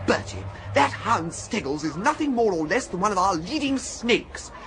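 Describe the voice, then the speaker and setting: A woebegone young English toff in a radio comedy. His upper-class voice swoops wildly up and down, agitated and melodramatic, always highly strung. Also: sing-song, normal speed, music in the background. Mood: indignant. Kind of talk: British radio comedy acting.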